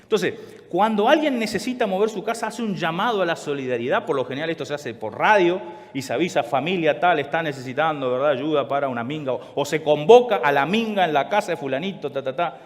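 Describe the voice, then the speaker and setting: A man's voice: a little high, fast talker. A man who grew up in Chile and Argentina, preaching a sermon to a church congregation in a conversational way and often turning to the listeners with questions. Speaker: pastor. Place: large church hall. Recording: long hall reverb.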